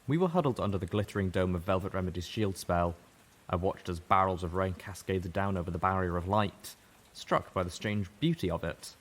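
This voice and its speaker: A man reading a story aloud in first person, steady and measured. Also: sits low. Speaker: audiobook reader. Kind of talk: first-person narration of fan fiction.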